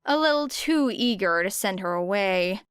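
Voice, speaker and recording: Female narrator for fiction audiobook, mid-pitched, crisp and smooth, dry close sound. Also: lively intonation, moderate pace, no room sound. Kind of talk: scripted story narration.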